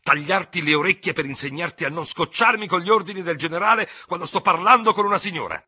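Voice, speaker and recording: A man voicing a furious, hot-headed small Gascon cavalry lieutenant, sputtering, hissing and shouting in an audiobook story. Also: lowish voice, steady tone, brisk, slightly rough, no room sound, clean studio sound, pitched above the performer's own voice. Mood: angry, threatening.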